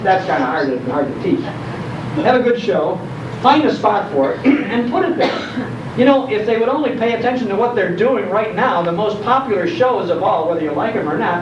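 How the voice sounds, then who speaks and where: An older man with a high-pitched voice gives a nostalgic public talk, leaning on repeated phrases like a keen storyteller. He is heard from a distance in an echoey room, with clear background noise. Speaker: speaker on old-time radio history. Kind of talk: nostalgic talk to a live audience. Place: indoor program venue, recorded from afar. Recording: dull, distant, echoey hall recording, loud noise.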